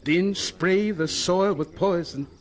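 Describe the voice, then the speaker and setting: An actor in an old-style radio drama playing an earnest agricultural professor, emphatic and pleading in his deliberate delivery. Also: high-pitched. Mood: emphatic, insistent. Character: earnest, fervent agricultural professor urging farmers on.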